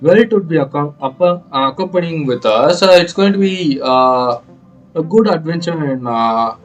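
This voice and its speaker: A man giving unscripted, halting commentary over a game playthrough, often pausing on filler sounds. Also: fairly high pitch, expressive, muffled recording, faint music behind. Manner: hesitant, halting delivery with many uhs.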